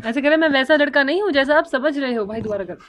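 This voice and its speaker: A woman's voice: high voice, very fast. A woman in her twenties chatting casually to viewers as the host of a prank vlog. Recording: faint noise behind voice.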